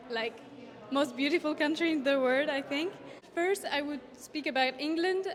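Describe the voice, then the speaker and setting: Student interviewee: female, twenties, French accent, high-pitched voice, informal delivery. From France. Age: 20s.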